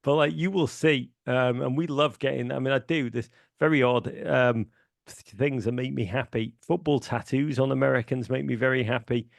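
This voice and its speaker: A middle-aged man with a Liverpool accent talks on a podcast, his voice gravelly and rough at the edges. He is an enthusiastic storyteller who restarts his sentences often and stacks up fillers as he goes. The recording is dry, with no room sound. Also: medium pitch, no room sound. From Liverpool, England. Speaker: guest. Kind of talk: casual podcast chat.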